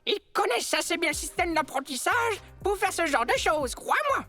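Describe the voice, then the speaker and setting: Shrill, haughty old lady leader. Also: sing-song, moderate pace, pushed and bright, faint music behind, heavily acted. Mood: stubborn, suspicious. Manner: imperious, indignant, dismissive. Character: haughty, forgetful old female community leader, irritable and stubborn. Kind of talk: French audio drama acting.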